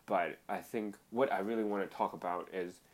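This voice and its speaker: A young man from Hong Kong in a casual chat, agreeable and quick to chime in. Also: deep voice, fairly even intonation. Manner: agreeable, chimes in to finish the other speaker's sentences. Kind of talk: casual conversation.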